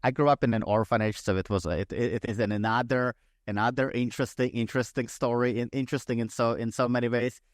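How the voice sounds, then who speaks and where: A man's voice: mid-range voice, fairly even intonation, fast talker, few pauses, no echo, studio quality. A man in his thirties from Ukraine, a podcast guest speaking conversationally and thoughtfully, often restarting and repeating words. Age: 30s. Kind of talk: conversational interview.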